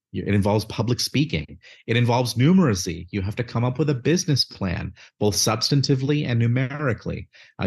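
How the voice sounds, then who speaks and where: A man's voice: lowish voice, husky. A man answering interview questions, articulate and methodical, listing points with a few fillers. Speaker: guest, education nonprofit chief executive.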